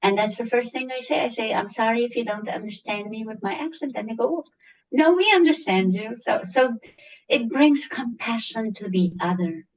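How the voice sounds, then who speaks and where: A woman's voice: fairly high pitch, moderate pace, recorded from a distance, poor audio. A woman from Egypt with an Egyptian accent, a teacher answering interview questions earnestly and repeating phrases for emphasis. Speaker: teacher, guest.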